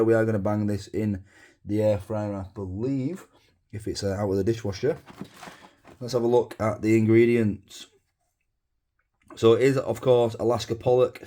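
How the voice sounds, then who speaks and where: A man with a hoarse, sore throat, chatting casually to camera from his home kitchen as a food vlogger, rambling with fillers and asides. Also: lowish voice, warm tone.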